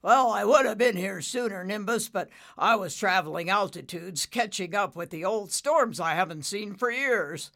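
A woman voicing a big, confident father storm cloud in a story, booming and emphatic, with a secretive streak. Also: expressive, normal speed, creaky, hardly any pauses, a bit bright. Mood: hearty.

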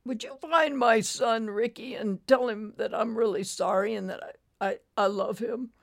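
A woman voicing a gentle, folksy older male trucker who is ailing, in an audiobook story. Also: fairly high pitch, normal speed, gravelly, voice pushed up. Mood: fading, resigned.

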